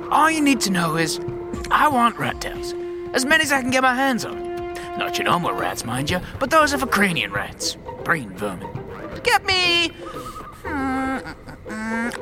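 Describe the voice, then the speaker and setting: A man's voice: very high-pitched, exaggerated voice. A man playing a wary, streetwise rat catcher in a fantasy audio drama, slangy, cagey and brusque.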